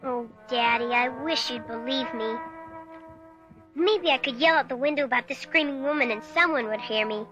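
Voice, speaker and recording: A woman's voice: sits high, normal speed, music in the background, close to an everyday voice. A woman playing an earnest, stubborn young American girl in an audio drama, insistent and pleading. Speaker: actor and narrator in an audio drama. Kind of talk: dramatic radio-play acting.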